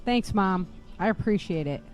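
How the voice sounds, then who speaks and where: A woman's voice: mid-range voice, lively intonation, normal speed, soft background music, natural voice. A woman playing an affectionate, reassuring working mother in an audio drama.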